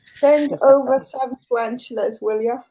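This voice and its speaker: A woman's voice: fairly high pitch, steady tone, moderate pace, mellow, rough recording. A woman with a Welsh accent, chatting and teasing the others on a live group video call. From Wales. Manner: cheeky, teasing banter. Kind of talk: casual group chat.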